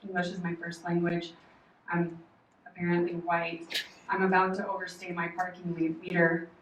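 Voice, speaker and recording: A woman from Oklahoma giving a prepared public comment at a meeting, earnest, measured and firm. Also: on the low side, monotone. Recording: echoey hall, distant mic, faint noise.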